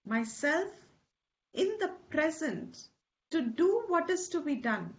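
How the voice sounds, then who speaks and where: A woman's voice: high-pitched, muffled recording. A woman giving a Vedanta class lecture, explaining terms patiently and repeating key words, with asides in Hindi. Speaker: Vedanta teacher.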